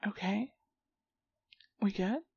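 A woman playing a foul-mouthed, hot-tempered but protective young friend in a one-sided audio roleplay drama, with a husky, breathy voice and slangy, direct delivery. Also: mid-range voice, takes it slowly, dark tone, muffled recording, a little hiss or hum. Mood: remorseful. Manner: slangy, profane, talking directly to a buddy.

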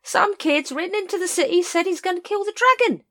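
A wheedling street sausage seller, a grown man who whines his wares in a high, pushy patter, as a woman reader puts him on. He never stops selling, swooping up and down as he talks. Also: lively intonation, brisk, a bit bright.

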